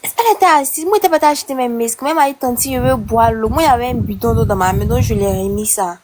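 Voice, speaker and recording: A woman calling in to a live stream to say where she saw a girl, talking excitedly and jumping between thoughts. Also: fairly high pitch, racing, background noise. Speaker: witness calling in.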